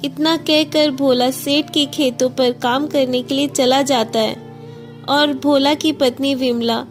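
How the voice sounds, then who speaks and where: A woman's voice: high-pitched, fairly even intonation, unhurried, faint music behind. A woman reading scripted narration for a moral story as the storyteller.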